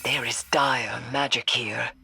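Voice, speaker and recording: Grim cryptic witchy occultist lady, slow n solemn, old fashioned wording, video game. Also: a bass voice, fairly even intonation, vocal fry, pushed and bright, a little hiss or hum, full character voice. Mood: grim resolve. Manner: solemn, archaic, deliberate phrasing. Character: grim, cryptic occultist woman, resolute and ominous. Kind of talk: video game dramatic dialogue.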